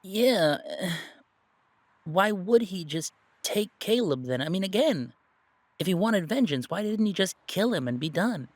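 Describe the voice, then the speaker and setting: A man acting an earnest werewolf pack member in an audio drama, reasoning aloud in an emphatic, halting, explanatory way. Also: sits high, very animated, dry recording, full character voice. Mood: doubtful. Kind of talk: dramatic audio roleplay dialogue.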